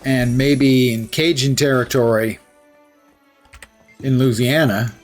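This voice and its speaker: A man's voice: medium pitch, fairly even intonation, takes it slowly, faint music behind. A man chatting casually and rambling while he livestreams a game. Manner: rambling, self-mocking.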